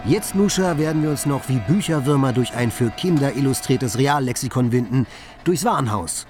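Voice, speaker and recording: An actor playing a talkative, whimsical city flâneur with florid, playful phrasing in a radio play. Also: male voice, medium pitch, expressive, moderate pace, natural voice. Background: music.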